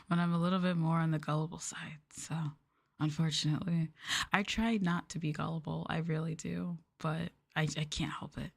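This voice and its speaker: Canadian girl rambling on her stream, kinda low voice, giggly, says like a lot. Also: fairly even intonation, moderate pace, creaky, breathy edge. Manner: rambling, giggly, self-deprecating, lots of "like" and "um". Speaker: VTuber streamer. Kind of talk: casual late-night chat stream.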